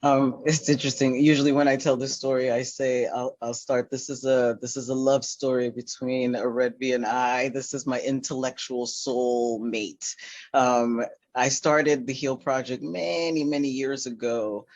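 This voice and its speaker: A man speaking on a panel talk as a nonprofit founder, halting, with many fillers and restarts. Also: medium pitch, flat delivery, darkish tone, no room sound, dull audio.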